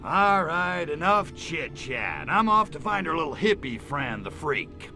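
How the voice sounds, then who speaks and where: A man with an American accent voicing a cocky, wisecracking alien invader in a video game, gravelly, in sardonic hipster slang. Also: on the high side, very animated, measured pace, music in the background. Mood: cocky, casual. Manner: laid-back hipster slang, sardonic drawl. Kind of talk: video game cutscene acting.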